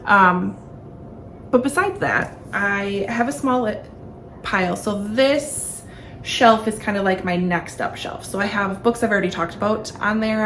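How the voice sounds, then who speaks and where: Chatty, enthusiastic woman vlogger with background noise. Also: medium pitch. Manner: enthusiastic, informal, often gushing. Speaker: book reviewer hosting a video channel. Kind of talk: casual chatty book vlog. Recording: clearly audible background room noise.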